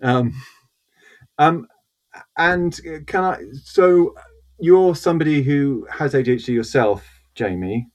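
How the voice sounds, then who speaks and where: A man's voice: fairly high pitch, slow, pauses often. A man hosting a podcast, joking with his co-hosts and often restarting his sentences. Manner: jokey, hesitant, many restarts. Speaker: podcast host. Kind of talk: casual podcast chat.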